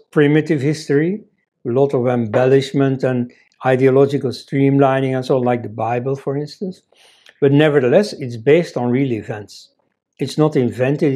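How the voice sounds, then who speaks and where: A man's voice: mid-range voice, moderate pace, mellow. An older man whose first language is Dutch, seated at a desk and giving a conversational talk with many "you see" asides. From Belgium. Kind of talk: lecture-style talk. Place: office room indoors.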